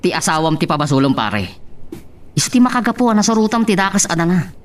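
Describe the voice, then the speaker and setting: A man's voice: sits high, expressive, fast. A man with an Ilocano accent playing a taunting, callous syndicate accomplice in a radio drama. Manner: mocking, sneering. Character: taunting, callous male crime-syndicate accomplice named Goryo.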